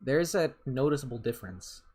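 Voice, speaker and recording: A man's voice: animated, fairly quick, a little mellow, slightly rough. A young man in his twenties, rambling casually with some swearing, chatting over a game as a YouTuber answering questions. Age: 20s. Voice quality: voice has grown deeper. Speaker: gaming YouTuber. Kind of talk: casual gameplay commentary and Q&A.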